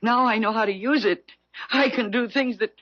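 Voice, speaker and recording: A woman's voice: fairly high pitch, moderate pace, fairly bright tone, no room sound. An actress in a 1970s radio drama playing a desperate, grasping, frightened woman, pleading and stammering in an overwrought way. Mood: rising panic. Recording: dull dry vintage radio recording.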